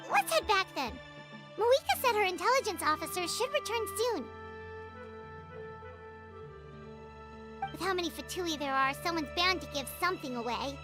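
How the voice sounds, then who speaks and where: Tiny childlike game companion, extremely high and animated, frank, clear music behind. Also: female voice, brisk. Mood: brisk. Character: small childlike sprite companion, chirpy and frank. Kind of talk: video game dialogue.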